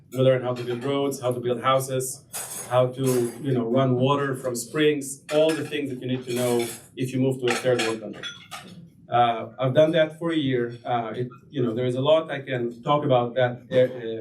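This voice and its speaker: A man's voice: mid-range voice, a little mellow. An Israeli man in his thirties tells his own story at a lunch gathering, stopping and restarting often with plenty of fillers. He pokes fun at himself along the way. His voice comes from some distance off the mic, with a faint hum of the room behind him. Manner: halting, many fillers and restarts, self-deprecating. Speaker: guest speaker. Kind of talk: personal testimony talk.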